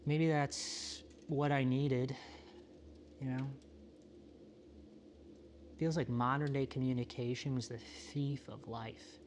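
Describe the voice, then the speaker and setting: A man playing a solitary, introspective apocalypse survivor in a found-footage monologue, talking in a halting, self-questioning, confessional way. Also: mid-range voice, steady tone, darkish tone, slight background noise, lightly acted. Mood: weary, reflective. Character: solitary, world-weary, introspective male survivor of a quiet apocalypse.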